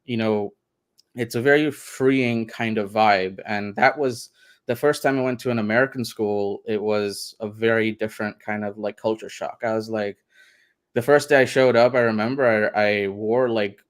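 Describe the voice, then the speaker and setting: A man's voice: lowish voice, steady tone, moderate pace. A man from India, a guest in a radio interview, talking in a reflective, meandering way and laughing often. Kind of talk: casual interview conversation.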